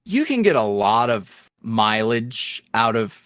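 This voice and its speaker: A man talking casually as a podcast guest, in a rambling, animated, self-deprecating way. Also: on the low side, slow pace, smooth, no room sound. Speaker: podcast guest, ASMR roleplay voice creator. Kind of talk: casual interview chat.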